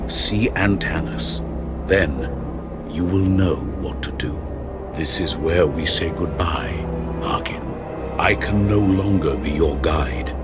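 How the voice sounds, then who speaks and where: An actor playing a solemn male guide and storyteller in a fantasy game, speaking gravely and with weight. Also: low-pitched. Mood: solemn, counselling. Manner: grave, portentous. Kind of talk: dramatic fantasy game dialogue and narration. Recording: music under the voice.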